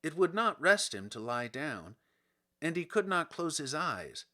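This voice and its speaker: A man's voice: on the high side, very animated, measured pace, pushed and bright. A man reading a children's storybook aloud as scripted audiobook narration. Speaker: audiobook reader.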